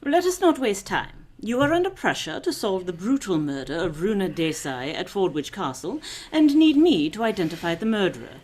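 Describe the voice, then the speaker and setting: A woman playing a brisk, self-assured lady detective with a knowing, commanding manner in a radio drama. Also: mid-range voice, big pitch swings, a little mellow.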